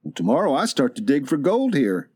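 Male drifter, storybook western, played by a man: higher-pitched, coolly courteous, proud, won't back down. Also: wildly swooping pitch, fairly quick, warm tone, husky. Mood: firm. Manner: polite but defiant, dry.

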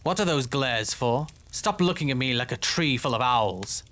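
A man's voice: mid-range voice, lively intonation, moderate pace, fairly bright tone, lightly acted. Man acting a wry, self-assured fantasy lieutenant. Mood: dry irony. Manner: ironic, formal. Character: male army lieutenant, wry, self-assured, earnest patrolman. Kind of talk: dramatised fantasy story dialogue.

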